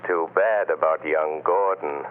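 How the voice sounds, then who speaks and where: Through a muffled, hissy old radio broadcast comes a low, mysterious avenger. He taunts his prey with slow, deliberate menace, in 1940s radio drama style. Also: steady tone. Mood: menacing.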